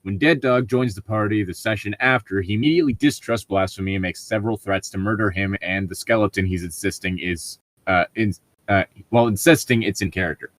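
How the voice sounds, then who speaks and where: A man with a fairly low voice and a very dry, close sound. He chats and reads stories in a casual, halting way, often reworking his phrases as he goes. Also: fairly bright tone, dry recording. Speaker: co-host reading online stories. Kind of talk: casual chat and story reading.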